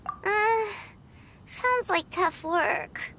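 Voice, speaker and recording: A woman voicing a tiny childlike fairy companion in a video game, naive and inquisitive, with a squeaky, expressive delivery. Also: extremely slow, slight background noise, exaggerated voice. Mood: puzzled, reluctant. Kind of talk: video game character dialogue.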